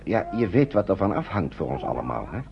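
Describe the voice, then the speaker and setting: Very deep-voiced, fatherly older uncle. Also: big pitch swings, fast talker, gravelly, full character voice. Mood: earnest, cautioning. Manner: avuncular, hesitant, repeats his words. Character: kindly, fatherly older uncle guiding refugee children to England. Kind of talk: Dutch radio drama acting. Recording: dull, lo-fi old radio recording.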